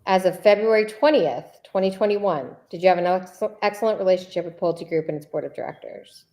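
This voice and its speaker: Woman playing an insistent, lower-voiced female attorney. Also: darkish tone, lightly acted. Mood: insistent, exasperated. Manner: insistent, repeats questions deliberately. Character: persistent, needling female attorney questioning a deposition witness. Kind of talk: read-aloud deposition transcript.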